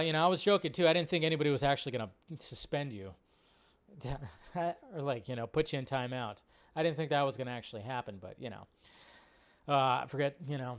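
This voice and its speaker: A male livestream host with a smooth, clear voice pitched a little on the high side. He chats casually and rambles off the cuff, often repeating a phrase as he goes. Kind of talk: casual live chat, reading and answering viewer questions.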